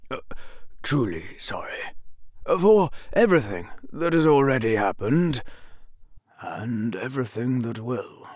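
A rambling old wizard headmaster, voiced by a man reading aloud, slightly higher than the reader's own voice. He halts and hems through his apologies with a lively, swooping delivery at an unhurried pace. The sound is dry and close. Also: no echo, full character voice. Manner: hesitant, halting, full of "uh"s.

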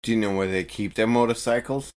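A man's voice: on the low side, fairly even intonation, brisk. A man playing in a tabletop roleplaying podcast, chatting casually and reacting with short exclamations. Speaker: player on a tabletop game podcast. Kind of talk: casual in-game chat with exclamations.

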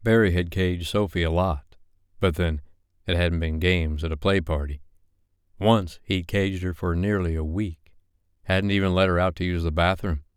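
Deep, smooth male audiobook narrator. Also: no echo, clean studio sound. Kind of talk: scripted romance novel narration.

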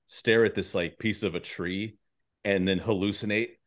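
A man hosting a casual interview chat, speaking in a halting, rambling way. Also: on the low side, fairly even intonation. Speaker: podcast host.

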